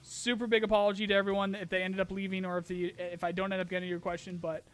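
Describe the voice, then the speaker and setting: A man hosting a casual live-stream Q&A, rambling with many ums and restarts while his voice grows hoarse. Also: sits high, flat delivery, fast talker, fairly bright tone, faint music behind. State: losing his voice, clearing throat. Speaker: streamer host answering chat questions.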